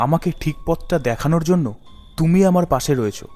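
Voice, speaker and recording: Young male lead, acted by a man, horror cartoon: earnest, fairly high voice, long reverb. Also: quick pace, a little mellow, lightly acted. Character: troubled, trusting young man, obedient son. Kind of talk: animated horror story dialogue. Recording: long hall reverb, music underneath.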